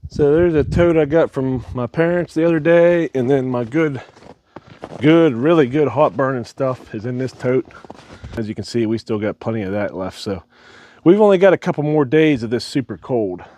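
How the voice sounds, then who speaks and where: A man's voice: medium pitch, expressive, moderate pace, dark tone, a little hiss or hum. A man who is losing his voice, chatting casually about his farm and woodstove, laughing between remarks. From Ohio. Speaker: homestead vlogger. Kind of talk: casual vlog walkthrough. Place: farm outdoors and basement.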